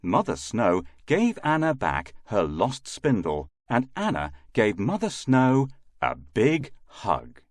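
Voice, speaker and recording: Casting: male fairy-tale narrator for children, unhurried, hugely varied intonation, dry close sound. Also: lowish voice, huge pitch swings, no echo. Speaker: storyteller, narrator.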